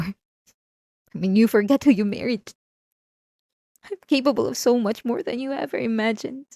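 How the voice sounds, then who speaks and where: Woman acting a high-voiced, unhinged possessive wife. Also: mellow, dry recording, clean studio sound, lightly acted. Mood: cold, menacing. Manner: intense, swinging between pleading and menacing. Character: unhinged possessive, obsessive young wife confronting her husband. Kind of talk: dramatic ASMR roleplay monologue.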